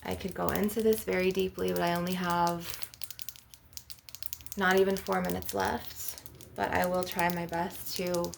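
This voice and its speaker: A woman's voice: on the low side, level tone, unhurried, vocal fry, slight background noise. A woman healer talking informally and emphatically to her video audience in a spiritual ASMR session, with casual filler phrases and some swearing. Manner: conversational, emphatic, peppered with swearing and "you know".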